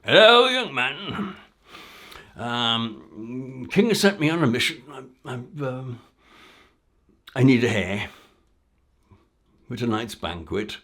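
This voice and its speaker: A man voicing a blustering gamekeeper in a folk tale, halting at first and then demanding. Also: mid-range voice, sing-song, fairly bright tone, voice pushed up. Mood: awkward, official. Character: king disguised as insistent, blustering gamekeeper on horseback.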